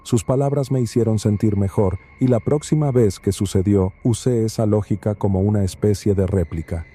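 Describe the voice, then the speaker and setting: A man narrates a first-person horror story in a calm, steady reading. His voice sits low. The tone is very dark and mellow. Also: moderate pace, slightly rough.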